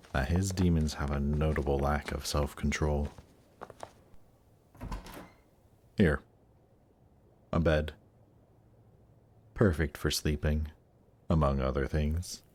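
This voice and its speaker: A man playing a seductive demon prince in an intimate roleplay audio drama, teasing and coaxing in an unhurried way. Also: rumbling low, darkish tone. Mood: coaxing, wry.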